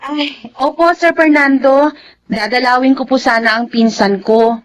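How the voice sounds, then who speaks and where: A woman's voice: sits high, a little slow, slight background noise, low-quality recording. A woman playing an honest, timid housemaid in a Filipino radio drama, polite and hesitant, with a Filipino accent.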